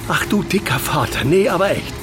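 An actor in a German radio play, playing an eccentric male aesthete who ponders grandly in pompous, halting phrases. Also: sits high, lively intonation, over music. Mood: earnest, enthusiastic.

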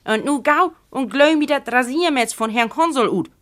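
A woman's voice: high-pitched, expressive, a bit bright, pitched above the performer's own voice. Woman playing a resolute old North German aunt, raised voice. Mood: brisk, scornful. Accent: Northern German, Low German. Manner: commanding, brisk. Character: resolute, proud old aunt, a captain's wife.

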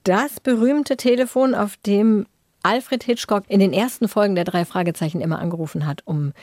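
A woman's voice: mid-range voice, normal speed, a little mellow, dry recording, studio quality. A woman co-hosting a podcast, telling things in a conversational storytelling style. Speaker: podcast co-host.

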